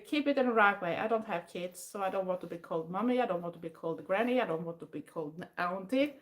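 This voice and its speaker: An older woman from southwest Sweden, a native Swedish speaker, chatting casually in English with a Swedish accent, pausing often with fillers and restarting her sentences. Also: on the high side. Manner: hesitant, many fillers and restarts, non-native phrasing. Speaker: vlogger. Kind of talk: casual chat.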